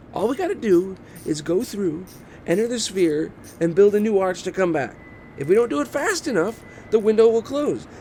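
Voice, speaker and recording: A man playing an irritable, high-pitched male engineer. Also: darkish tone. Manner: exasperated, condescending rants. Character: Gordon, irritable, impatient, sarcastic male engineer. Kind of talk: amateur movie script table read. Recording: noticeable background noise.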